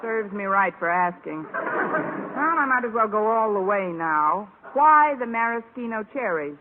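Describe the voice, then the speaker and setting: An American actress playing a wry, deadpan schoolteacher in an old radio sitcom, trading dry wisecracks. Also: female voice, mid-range voice, measured pace, lightly acted. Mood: dry, patient. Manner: deadpan, sarcastic. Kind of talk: 1940s-50s American radio sitcom acting. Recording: tinny, heavily noisy old radio recording.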